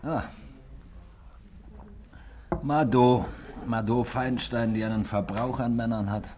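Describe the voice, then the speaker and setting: An actor in a 1960s German radio play, playing a tipsy, world-weary drinking companion who muses theatrically and swings from mutters to loud calls. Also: male voice, mid-range voice, fairly even intonation, slow, lightly acted. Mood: bitter, melancholy. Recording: noisy old 1960s radio recording.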